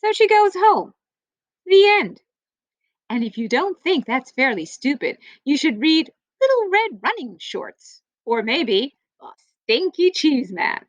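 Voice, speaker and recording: A woman with a fairly high voice reads to children in an expressive storybook style. Her pitch swings widely, with playful emphasis and stretched-out phrases. The sound is very dry, with little room echo. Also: slow. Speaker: teacher or school librarian reading aloud.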